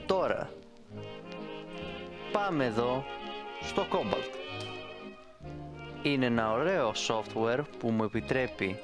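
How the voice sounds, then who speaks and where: A man presenting a casual tutorial in an informal, playful, rambling way. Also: mid-range voice. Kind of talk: casual screen-recorded tutorial. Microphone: close studio microphone. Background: music.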